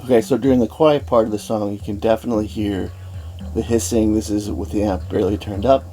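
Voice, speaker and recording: A man giving an informal technical demonstration, speaking hesitantly and haltingly as he thinks aloud. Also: lowish voice, steady tone, dark tone, soft background music. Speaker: host of an electronics testing channel.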